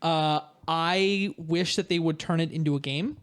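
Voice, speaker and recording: A man's voice: fairly high pitch, unhurried, clean tone. A man hosting a podcast in a casual, jokey, slightly self-mocking chat style. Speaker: podcast host.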